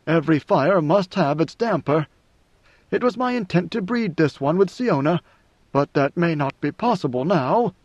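A man voicing an ancient, brooding male God Emperor who speaks in a slow, measured way, with weary authority. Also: on the high side, animated, no echo. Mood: musing. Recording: dry, slightly muffled recording.

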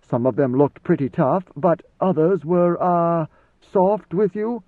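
A man's voice: a little high, animated, a little slow, voice pushed up. A man voicing a proud male warrior who is blunt, wary and full of challenging questions, in an audiobook reading. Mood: wary, puzzled. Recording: slightly roomy, phone-like narrow sound.

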